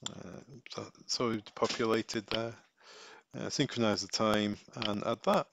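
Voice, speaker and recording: Low, creaky-voiced man giving a tutorial. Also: fairly even intonation, measured pace, a bit bright. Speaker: instructor giving a software setup tutorial. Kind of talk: casual step-by-step screen tutorial.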